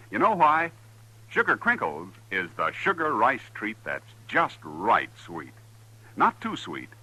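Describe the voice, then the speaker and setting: A man's voice: lowish voice, bright, forceful tone, husky, low-quality recording. An American man announcing in a polished, salesmanlike 1950s radio style. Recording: vintage 1950s radio broadcast with music. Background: music.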